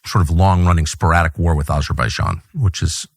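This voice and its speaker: A man from California interviewing a guest, asking probing, deliberate questions. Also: low voice, steady tone, dry recording, clean studio sound. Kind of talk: interview conversation.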